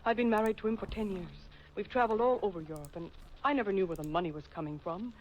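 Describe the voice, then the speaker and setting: An actress playing a desperate, secretive wife who pleads for help, in a 1940s radio drama. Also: female voice, medium pitch, fast talker, darkish tone, lightly acted. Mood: pleading. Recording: dull old radio recording, faint hiss.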